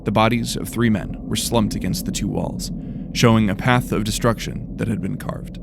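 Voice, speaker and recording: Low, creaky-voiced male fantasy narrator. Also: normal speed, background noise. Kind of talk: dramatic scripted fantasy storytelling.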